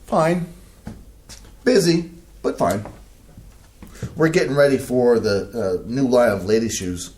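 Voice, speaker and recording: A stubborn, old-fashioned father, read by a man in a staged reading. He talks slowly and blusters, his pitch swinging up and down. The room around him is echoey. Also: animated, a little mellow. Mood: rueful. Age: older.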